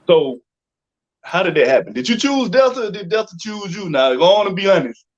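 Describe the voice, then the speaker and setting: A man's voice: high voice, big pitch swings, fairly quick, lo-fi sound. An American man hosting a casual interview in an informal, slangy way. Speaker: interview host.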